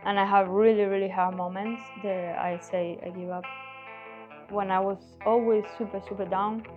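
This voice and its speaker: A woman's voice: mid-range voice, steady tone, moderate pace, narrow, tinny audio. A barber shop owner, a woman speaking earnestly and simply about her struggles in a documentary interview. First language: not German. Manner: earnest, heartfelt, simple phrasing. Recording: interview clip with guitar music. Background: guitar music.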